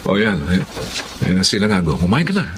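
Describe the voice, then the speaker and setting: A man's voice: lowish voice, very animated, moderate pace, noisy background. A man playing a kindly, humble working man in a Filipino radio drama, reassuring and respectful in manner. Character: kindly working man, reformed drinker, gentle and welcoming. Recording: music under the voice.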